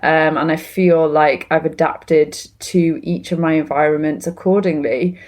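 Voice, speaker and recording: Low-voiced Welsh woman, chatty guest. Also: fairly even intonation, measured pace, darkish tone. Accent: Welsh-English, mixed with London and Canadian influence. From Wales. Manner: chatty and reflective, with frequent ums and restarts. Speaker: guest, ESL teacher. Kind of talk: conversational interview answers. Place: lives in Canada.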